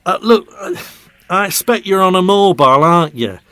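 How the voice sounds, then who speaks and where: Weary local radio traffic presenter, higher-pitched. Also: male voice, lively intonation, moderate pace, a bit bright, no room sound. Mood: weary, impatient. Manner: plain-spoken, colloquial. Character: Carl Russell, tired, edgy male local radio traffic presenter. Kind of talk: audio drama acting.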